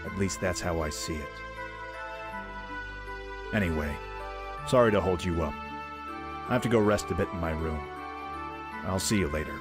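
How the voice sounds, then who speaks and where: A man's voice: low voice, normal speed, pauses often, over loud music, lightly acted. A man acting an earnest young exiled prince, burdened and unsure of himself, in a fan radio play. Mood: earnest, resolute.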